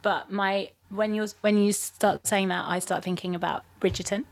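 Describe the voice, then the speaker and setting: A woman's voice: medium pitch, flat delivery, moderate pace, creaky. A woman with a London accent, an actress speaking frankly and animatedly in a casual discussion.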